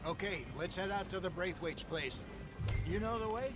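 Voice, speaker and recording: An actor playing a genteel, sly old outlaw con man, smooth-talking and wry, in a western video game. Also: high-pitched, moderate pace, over music, lightly acted. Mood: genial, scheming. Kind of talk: video game cutscene acting.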